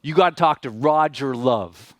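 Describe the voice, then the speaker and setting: A man's voice: mid-range voice, moderate pace, dry recording. A man hosting a seminar as a motivational speaker, telling stories emphatically, with repeated phrases and rhetorical questions. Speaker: seminar host and speaker introducing a guest. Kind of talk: motivational stage talk, storytelling and guest introduction.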